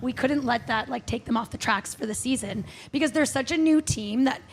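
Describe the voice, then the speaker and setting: A woman's voice: fairly high pitch, brisk. A woman talking casually and emphatically as a podcast guest and coach. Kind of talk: casual live podcast talk. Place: live show before an audience. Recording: noisy live venue recording.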